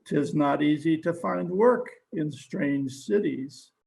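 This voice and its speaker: A man playing a flustered, concerned gentleman, halting, in an old-time radio drama. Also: fairly high pitch, vocal fry, slight background noise, heavily acted.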